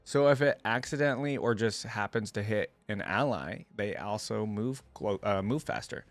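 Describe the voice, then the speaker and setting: A man hosting a reaction video, talking casually as he thinks out loud and reads text from the screen. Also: on the low side, normal speed, no room sound.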